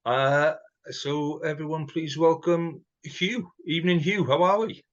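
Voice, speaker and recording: A man's voice: on the high side, steady tone, measured pace, dull audio. Chatty man hosting a podcast. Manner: chatty, self-mocking, laughs between points. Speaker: podcast host. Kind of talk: casual podcast chat.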